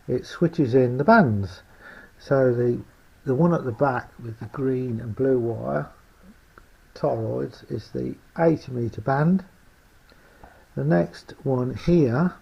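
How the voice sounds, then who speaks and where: A man informally presenting a hands-on demonstration of his homemade radio build, explaining it in a hesitant, halting way. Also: medium pitch, vocal fry, slightly rough, muffled recording.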